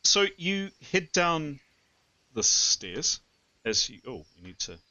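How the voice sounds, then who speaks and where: A man running a tabletop game as game master, thinking out loud and correcting himself as he goes. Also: a little high, very animated, takes it slowly, no echo, dull audio. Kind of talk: casual tabletop game narration and adjudication.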